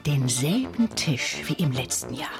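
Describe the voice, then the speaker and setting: An actress playing a sophisticated, sharp-tongued wife and mother with an arch, pointed delivery in a radio drama. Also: a bass voice, very animated, measured pace, vocal fry, full character voice. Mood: indignant. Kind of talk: scripted radio drama dialogue. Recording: studio radio drama with loud music.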